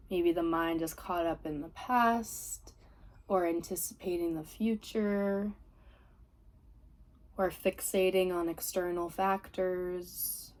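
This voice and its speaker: A woman leading a guided meditation as a teacher, giving gentle, soothing, unhurried instructions. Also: on the low side. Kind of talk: guided body scan meditation.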